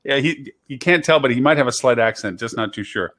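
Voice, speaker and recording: A man's voice: medium pitch, fairly bright tone. A man hosting a live online marketing show, chatty and playful, introducing guests over a video call. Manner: playful, chatty, fills pauses by humming. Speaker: show host.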